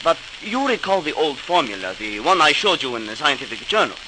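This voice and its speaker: An actor playing an earnest, modest research chemist, hesitant and deferential, in 1940s radio drama style. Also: male voice, fairly high pitch, sing-song, fairly quick. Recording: noisy crackling old radio recording.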